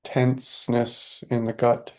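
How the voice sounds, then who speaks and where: A man leading a guided meditation in a calm, unhurried, soothing way, speaking softly and leaving long pauses. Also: medium pitch.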